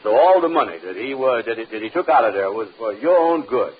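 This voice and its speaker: An actor playing a fast-talking, excitable male schemer in a vintage radio comedy, with folksy, insistent delivery. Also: medium pitch, natural voice. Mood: smooth-talking, persuasive. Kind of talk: 1950s radio situation comedy acting. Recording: tinny old radio recording, faint hiss.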